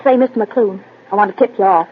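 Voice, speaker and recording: A woman's voice: mid-range voice, fast, lightly acted. Woman doing brassy wisecracking old radio secretary, slangy, bit dull and hissy. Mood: confiding. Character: brash, slangy female office secretary, loyal and streetwise. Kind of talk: 1940s radio acting. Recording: muffled, slightly noisy old radio.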